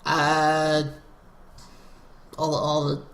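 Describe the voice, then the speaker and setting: A man's voice: on the high side, completely flat delivery, drawn-out words, gravelly, fairly bright tone, reverberant. A man co-hosting a casual video game podcast, speaking in loose, hesitant phrases. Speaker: podcast co-host. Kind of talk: casual chat about video games.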